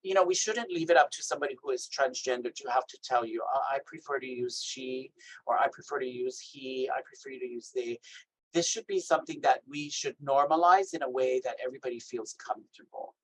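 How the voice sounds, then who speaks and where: A man from Hawaii with a naturally high voice, giving an emphatic workshop talk over a video call and checking in with "right?". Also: brisk, poor audio. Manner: lively, emphatic, asks "right?" often. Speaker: health equity trainer. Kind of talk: workshop presentation.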